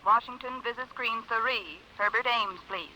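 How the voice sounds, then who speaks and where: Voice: medium pitch, moderate pace, sharp tone. A performer playing a formal, clipped telephone exchange operator in a 1950s radio drama, noisy and telephone-like. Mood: formal, impersonal.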